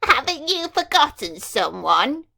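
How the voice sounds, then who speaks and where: A woman's voice: sits high, big pitch swings, unhurried, gravelly, sharp tone, voice pushed up, heavily acted. A woman voicing a mean, furious storybook fairy who roars, snarls and purrs with menace. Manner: roaring, snarling, purring menace.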